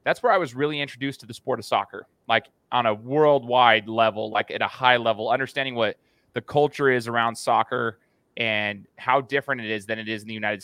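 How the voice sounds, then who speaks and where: Bright, forceful American man hosting casually. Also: medium pitch, clear, resonant tone, no echo. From United States. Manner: informal, buddy-like address. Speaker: podcast host. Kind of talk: casual, chatty interview hosting.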